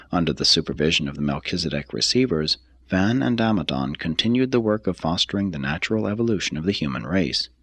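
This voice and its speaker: A man reading a religious text as scripted narration, in a measured, solemn and formal manner. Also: low-pitched, a bit bright, husky, no echo. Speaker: reader.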